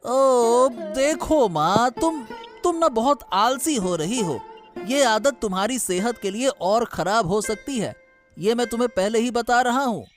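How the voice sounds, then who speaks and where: A man's voice: extremely high pitch, lively intonation, unhurried, soft background music. A man voicing a harried grown son and royal courtier who fusses and complains in a Hindi cartoon story. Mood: exasperated. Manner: plaintive, fussing.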